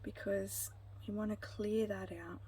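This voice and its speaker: A woman giving a spiritual pick-a-card tarot reading, talking gently and reassuringly to viewers with frequent ums and restarts. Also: mid-range voice, steady tone, measured pace, husky.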